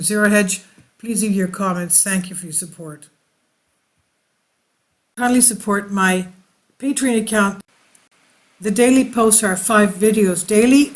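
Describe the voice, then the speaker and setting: An online news commentator reading articles aloud with off-the-cuff remarks, in a halting delivery that stumbles over the text. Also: mid-range voice.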